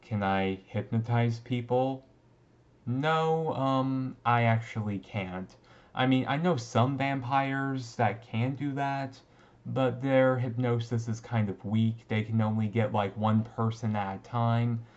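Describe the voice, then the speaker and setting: Man acting male vampire classmate, bashful, teasing, slow-paced, hesitant, romantic audio roleplay, dull recording. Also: medium pitch, a little mellow, natural voice. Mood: casual, explaining. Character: shy young-looking male vampire, emo goth classmate, sweetly smitten. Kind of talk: romantic roleplay audio drama, talking to listener.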